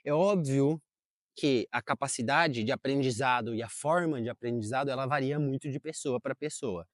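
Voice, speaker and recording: A man's voice: mid-range voice, normal speed, dry recording, clean studio sound. Chatty man talking outdoors. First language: Portuguese. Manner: chatty, self-correcting, lots of fillers. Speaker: vlogger. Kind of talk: casual vlog talk to camera. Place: outdoors in a park.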